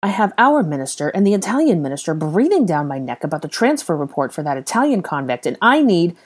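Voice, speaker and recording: A woman's voice: lowish voice, huge pitch swings, normal speed, darkish tone. A woman voicing an irate, haughty man with a scornful, impatient, barking manner in an audiobook story. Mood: angry.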